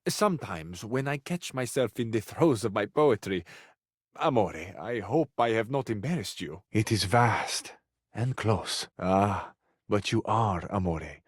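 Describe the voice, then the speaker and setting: An actor playing a romantic Italian lover in a video game, with an Italian accent and a flowery, courtly, tenderly flirtatious manner. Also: male voice, on the low side, animated, measured pace, breathy edge, dry recording, clean studio sound. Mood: yearning, flirtatious. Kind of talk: video game character acting, romantic dialogue.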